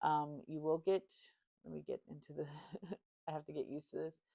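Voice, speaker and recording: Low-voiced woman chatting casually. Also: normal speed, creaky, no echo. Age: 30s. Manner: chatty and relaxed, with fillers and light laughs. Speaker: crafter and vlogger. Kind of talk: casual storytime chat while beading. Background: faint chill music.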